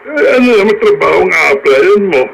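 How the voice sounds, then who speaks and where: Brief: kindly elderly Filipino lolo in radio drama, faltering phrasing, raised pitch, faint noise. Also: male voice, big pitch swings, fairly quick, creaky, fairly bright tone, full character voice. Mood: concerned. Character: kindly old grandfather, hesitant and caring. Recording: noisy radio drama broadcast.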